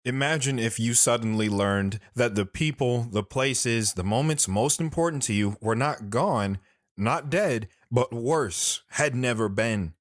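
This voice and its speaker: World-weary male drifter, played by a man for audio drama: mid-pitched, dry recording, earnest, philosophical, deliberate. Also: no echo, close to an everyday voice. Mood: brooding. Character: lone wandering man, world-weary, philosophical, sorrowful storyteller.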